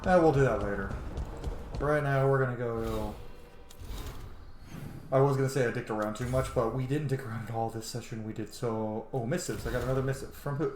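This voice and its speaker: A man's voice: mid-range voice, a little fast, a little mellow. Guy doing chill gaming commentary, mumbly asides, bit of swearing, roomy mic w faint hiss. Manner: informal, sweary, muttering asides to himself. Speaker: gaming streamer, let's-play host. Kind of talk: casual gameplay commentary. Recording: roomy sound with faint noise.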